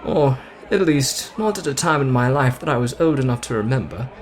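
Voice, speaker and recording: A man's voice: mid-range voice, expressive, brisk, a faint crowd, close to an everyday voice. A man voicing a brash, playful young British show-off who is boastful, teasing and theatrical in an ASMR roleplay. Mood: boastful, charming. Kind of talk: ASMR roleplay addressed to the listener.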